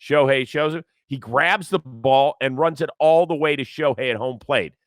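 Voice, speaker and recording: A man hosting a casual sports talk show, a little hoarse this morning, talking in an animated, energetic way and reading sponsor copy with energy. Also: mid-range voice, moderate pace, no echo, studio quality. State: a little hoarse from lack of sleep. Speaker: host.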